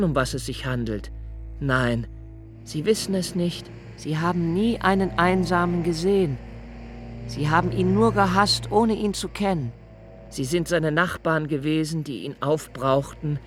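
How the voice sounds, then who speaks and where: A man's voice: fairly high pitch, lightly acted. An actor playing a lonely young male poet, narrating a radio play with an earnest, intense reading over faint music.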